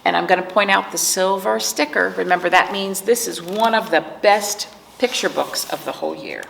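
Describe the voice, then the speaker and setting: A woman librarian hosting a virtual storytime, speaking gently to children, asking them simple questions and reading a picture book aloud. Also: fairly low pitch, moderate pace, vocal fry, slight background noise, low-quality recording.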